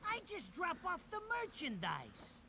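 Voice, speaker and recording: A man's voice: very high voice, huge pitch swings, unhurried, gravelly, bright, forceful tone, slight background noise, exaggerated voice. An actor playing a squat, nervous, foul-mouthed wiseguy henchman in a video game, wisecracking and whiny. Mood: cocky, griping. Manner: wisecracking, whiny, profane, slangy. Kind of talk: animated video game cutscene acting.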